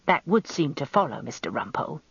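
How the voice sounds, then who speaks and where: A woman's voice: low voice, sing-song, brisk, breathy edge, dry recording, highs cut off, heavily acted. Woman voicing weary, sepulchral old British judge. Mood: weary, impatient.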